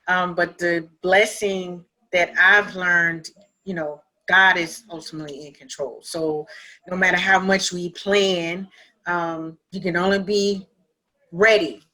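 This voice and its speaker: A woman answering casually as an actor on a video-call panel, in a halting, reflective manner. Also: on the low side, steady tone, pushed and bright, low-quality recording.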